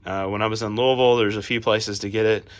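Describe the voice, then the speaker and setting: A man hosting a casual garage how-to vlog while working on a car, his voice a little raspy as he gets over a cold, talking in a laid-back, rambling way with plenty of fillers. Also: lowish voice, steady tone, fast. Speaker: garage channel host.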